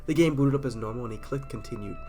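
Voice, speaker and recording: A man's voice: medium pitch, quick pace, warm tone, soft background music. A man with a stuffy cold, casually reading horror stories aloud in a chatty, self-deprecating way and sometimes stumbling over words. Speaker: storyteller.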